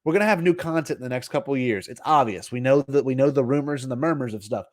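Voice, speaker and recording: A man's voice: medium pitch, fast talker. A man co-hosting a podcast in casual fan discussion, speaking enthusiastically and emphatically with plenty of slang. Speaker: podcast co-host.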